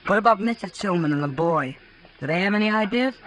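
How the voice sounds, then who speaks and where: A woman's voice: medium pitch, lively intonation, fast, fairly bright tone, natural voice. An actress playing a frank, worldly, caring woman of the Old West in a 1950s radio western, direct and knowing. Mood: concerned. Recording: noisy 1950s radio recording.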